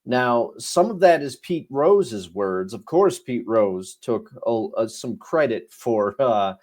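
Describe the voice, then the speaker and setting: A young man co-hosts a podcast in a relaxed, conversational tone, his voice sitting at an ordinary middle pitch. He leans into points with emphasis and laughs along with his partner. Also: slow. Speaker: podcast co-host. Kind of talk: casual podcast chat and review.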